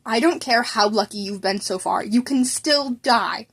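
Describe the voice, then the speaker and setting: A woman's voice: a little high, unhurried, fairly bright tone, slightly rough, exaggerated voice. A woman voicing a weary, stern male schoolteacher who is easily exasperated, in an amateur story reading.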